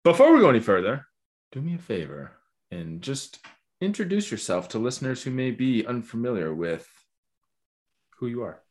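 A man's voice: mid-range voice, very animated, moderate pace, long pauses, darkish tone. A man hosting a podcast, asking questions and musing aloud in a chatty, loose way with fillers. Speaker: podcast host. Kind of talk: casual interview.

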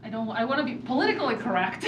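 A woman's voice: fairly high pitch, sing-song, very fast. A woman from Ukraine with a Ukrainian accent, a native Russian speaker, earnestly speaking on a discussion panel with a touch of humor. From Kharkiv, Ukraine. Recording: distant mic in echoey room, noise.

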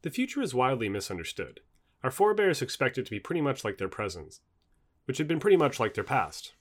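A man's voice: mid-range voice, very animated, fast talker. A man reading a nonfiction book aloud as scripted narration.

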